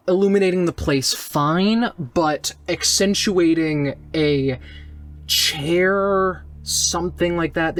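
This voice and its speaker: A mid-pitched narrator sets a tabletop game scene very slowly. The delivery is deliberate and descriptive, broken by pauses, with soft music underneath. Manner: deliberate, pausing between phrases. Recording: music behind the voice.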